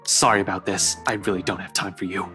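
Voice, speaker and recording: A man voicing a cool, defiant young man with a soft streak in a visual novel, speaking in an understated, curt way. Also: sits low, little pitch movement, fast, over music, natural voice. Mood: cool defiance.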